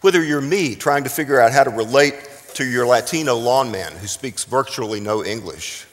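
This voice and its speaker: A middle-aged American man from South Texas preaching a sermon deliberately, with dramatic emphasis and pauses. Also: medium pitch, expressive. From South Texas, United States. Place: large reverberant room, speaking to a congregation. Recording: echoing hall with long reverb.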